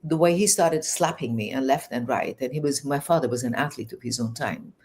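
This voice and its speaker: A middle-aged woman from Iran, a native Farsi speaker whose accent mixes Iranian and English tones, telling her life story as an interview guest in an earnest, animated way. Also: a bass voice, brisk, a little hiss or hum. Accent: Iranian, learned English in England.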